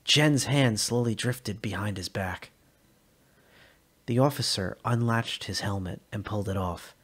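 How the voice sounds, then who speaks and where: A man reading a sci-fi short story aloud in an expressive dramatic style. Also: on the low side, unhurried, slightly rough. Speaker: narrator.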